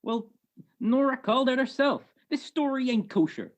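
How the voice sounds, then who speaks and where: A woman's voice: high voice, expressive, moderate pace. A woman playing a suspicious old male police sergeant who talks in needling tough-guy slang in a radio detective play. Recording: muffled video-call recording.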